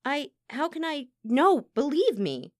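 A woman's voice: mid-range voice, lively intonation, moderate pace, fairly bright tone, no echo, studio quality, natural voice. A woman voicing an earnest young opera singer in an audiobook, devoted and wounded, pleading and protesting. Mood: flustered pleading. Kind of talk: audiobook character acting.

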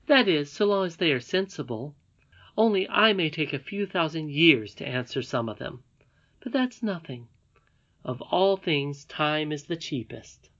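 A woman's voice: deep voice, expressive, a bit bright, voice pushed up, exaggerated voice. Woman reading as a wise ageless lady. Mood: patient. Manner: measured, patient. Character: ageless wise grandmother lady, calm and gently stern. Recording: dull lo-fi recording.

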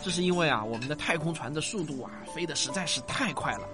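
A man's voice: fairly high pitch, animated, quick pace, natural voice. An actor playing an affectionate, indulgent father in a science-fiction radio drama, coaxing and exclaiming with sighs. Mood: patient explaining. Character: affectionate, indulgent father humouring his young daughter. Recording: music bed behind the voice.

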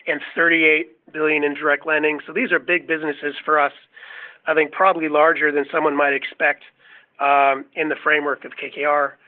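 A male company executive giving a measured, businesslike answer on an earnings call over a phone line. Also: on the high side.

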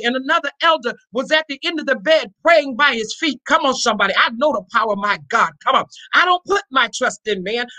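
Pastor role: high, forceful voice, fervent church preaching, urging listeners on with repeated interjections. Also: rough recording. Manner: exhortatory, repeats refrains and interjections; emphatic, often raising the voice. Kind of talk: fervent charismatic church sermon.

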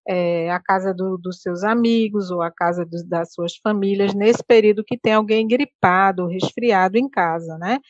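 A woman's voice: mid-range voice, no room sound. Woman guest, earnest advice, dull dry audio. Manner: earnest, advising, repeats and restarts. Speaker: health guest. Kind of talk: casual interview talk.

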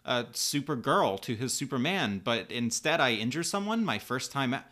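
A man's voice: medium pitch, sing-song, normal speed, sharp tone, pitched above the performer's own voice. A man voicing an earnest, naive and easily hurt teenage superheroine in comic book dialogue, with a pleading, stammering, indignant delivery. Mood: apologetic, pleading.

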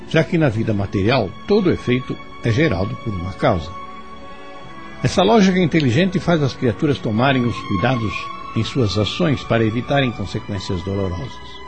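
A man with a Brazilian accent giving scripted moral commentary in a formal, measured, didactic way. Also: medium pitch.

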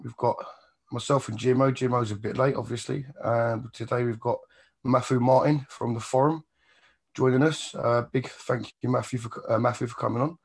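A man hosting a podcast in a relaxed, chatty way, his voice hoarse and croaky. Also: medium pitch, normal speed. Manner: relaxed, chatty, loose phrasing. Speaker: podcast host. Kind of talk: casual chat.

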